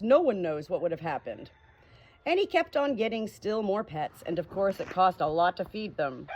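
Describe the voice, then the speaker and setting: A woman with a medium-pitched voice tells stories in an informal, expressive way. Her intonation is lively and emphatic, made for children's books. Farmyard noise with crowing fowl is faintly audible behind her. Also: dull audio. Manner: animated, emphatic, drawing out key words. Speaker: audiobook reader. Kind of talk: expressive children's storybook narration with informal introduction. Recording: noisy farmyard ambience, roosters crowing. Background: farm animals, crowing roosters.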